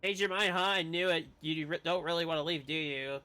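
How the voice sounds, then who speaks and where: A man's voice: on the high side, a little fast, bright, forceful tone, clear, resonant tone. A man delivering dialogue from a story-driven video game. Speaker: streamer reading game dialogue aloud.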